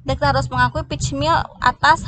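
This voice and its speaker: A woman giving a step-by-step explanatory lesson as a lecturer, in a halting way, often restarting words. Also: high-pitched, fairly even intonation, a bit bright. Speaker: accounting lecturer. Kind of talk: explanatory lesson walking through worked examples. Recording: noticeable background noise.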